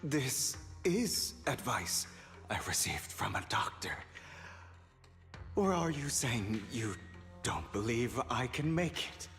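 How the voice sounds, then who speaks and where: A man playing a proud young man with a bitter past in a video game, measured, self-assured and dramatic. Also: mid-range voice, very animated, a bit bright, soft background music, heavily acted. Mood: confident, defiant.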